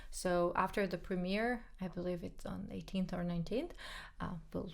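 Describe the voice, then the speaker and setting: A woman's voice: on the low side, steady tone, moderate pace, vocal fry. A woman whose first language is Georgian, co-hosting a podcast in casual, frank chat, with many fillers and repeated words. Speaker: podcast co-host, pianist.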